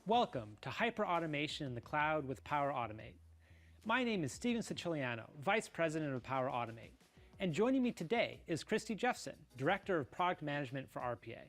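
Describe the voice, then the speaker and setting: A man giving a scripted conference presentation as a product executive, polished and even. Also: a little high, fast, soft background music.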